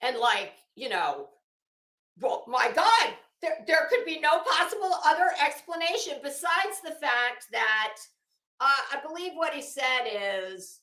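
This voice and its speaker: A woman's voice: sits high, expressive, a little slow, bright, forceful tone, roomy sound. A woman giving casual, opinionated commentary in a rambling, emphatic and blunt way, swearing freely. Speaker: online commentary host.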